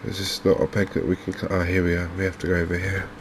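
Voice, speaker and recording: A man with an English accent giving soft-spoken, hesitant, unhurried gameplay commentary in an ASMR style. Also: deep voice. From England. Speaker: gaming video host. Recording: clear steady background noise.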